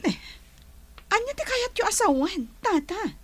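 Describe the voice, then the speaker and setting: A woman's voice: very high-pitched, very animated, measured pace, full character voice. A woman with an Ilocano accent playing an earnest young sweetheart and resort madam in a radio drama. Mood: concerned.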